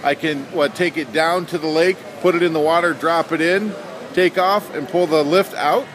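A man's voice: a little high, fairly even intonation, normal speed. A man who publishes a magazine, interviewing an exhibitor at an indoor boat show with upbeat enthusiasm. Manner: enthusiastic, hearty praise. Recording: loud crowd and hall noise.

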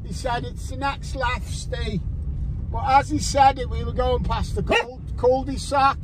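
High-voiced scouse bloke rambling slow behind the wheel, lots of swearing, car noise all over. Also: male voice, little pitch movement. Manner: chatty, sweary, jokey asides to viewers. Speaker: metal detecting vlogger. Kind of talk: rambling casual vlog while driving. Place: inside a car on the road. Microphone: camera mounted on the dashboard. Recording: loud road and engine noise.

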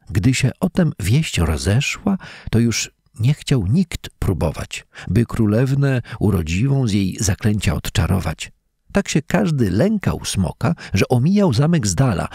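A man reading a fairy tale in verse aloud, as a measured, dramatic storyteller. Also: on the low side, gravelly, a little mellow, slightly rough, no room sound, studio quality. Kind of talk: expressive scripted fairy-tale narration in verse.

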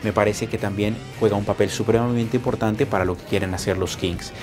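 A man in his thirties with a Colombian accent, speaking in a measured, hesitant way in an interview in a locker room. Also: fairly low pitch, fairly even intonation, a little mellow, faint music behind. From Bogotá, Colombia. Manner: measured, hesitant with many fillers. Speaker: hockey color commentator. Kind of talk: interview answers.

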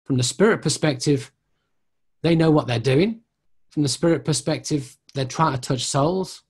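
Male tutor, middle-aged, slightly high and husky voice, informal question-and-answer teaching. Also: normal speed. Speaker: mediumship tutor.

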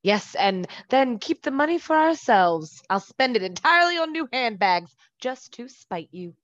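A woman's voice: a little high, sing-song, normal speed, a bit bright, dry recording. A woman playing a skeptical, teasing mother and wife in a radio play, with dry sarcasm, on a slightly lo-fi recording. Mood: teasing, dismissive. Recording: slightly dull, lo-fi sound.

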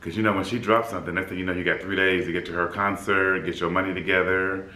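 A man in his fifties with a deep baritone voice, a bit scratchy in the throat, chatting upbeat to the camera in his apartment as a vlog host. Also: little pitch movement, fast talker, bright, forceful tone, echoey room. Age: 50s. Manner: upbeat, chatty, laughs easily. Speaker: flight attendant vlogger. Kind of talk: casual vlog chat. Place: apartment room by a city window.

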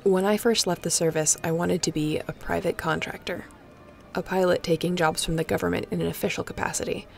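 A woman playing a guarded, wry spaceship captain in an intimate sci-fi audio roleplay, with a gravelly voice and dry, teasing, conversational delivery. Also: lowish voice, fairly even intonation, brisk, soft background music. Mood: reflective storytelling. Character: guarded, wry female spaceship pilot captain, softening toward an old friend.

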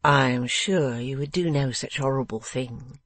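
A woman voicing a gentle, patient young woman in a storybook, soothing and affectionate. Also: a bass voice, measured pace, dry recording. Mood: reassuring. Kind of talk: dramatised storybook reading.

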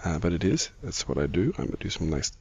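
A middle-aged man with a croaky voice, giving casual, easygoing gaming commentary as the host of a video. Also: low-pitched, sing-song, fast, a little mellow, muffled recording. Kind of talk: casual Minecraft let's-play commentary.

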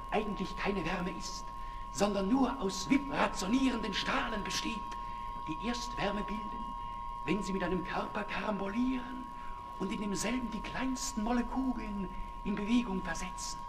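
High-pitched sneering denouncer in reverb. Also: male voice. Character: mocking male denouncer jeering at a scientific dilettante. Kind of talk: 1960s German radio play acting. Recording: reverberant 1960s radio recording with music.

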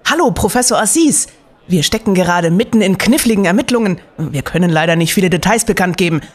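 A woman playing an earnest, easily spooked young male student detective in an audio drama, with a husky voice. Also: fairly low pitch, lively intonation, fast. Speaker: actor.

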